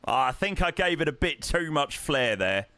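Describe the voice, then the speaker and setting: A man with a hoarse, croaky voice, chatting casually to his stream audience and rambling with lots of ums. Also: fairly high pitch, sing-song, moderate pace, pushed and bright, no echo. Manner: rambling, self-interrupting, frequent ums. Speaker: flight sim streamer.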